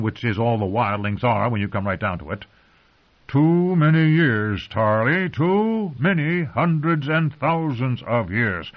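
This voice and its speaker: A man's voice: medium pitch, pitched above the performer's own voice. A man voicing a stern, impatient old male commander who growls and scorns. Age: older. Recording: muffled lo-fi recording.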